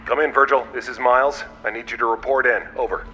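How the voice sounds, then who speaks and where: A dutiful Apollo astronaut reports to base over a radio in an audio drama. His voice is medium in pitch, bright and businesslike. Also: steady tone, quick pace, soft background music. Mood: worried, urgent. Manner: businesslike, crisp.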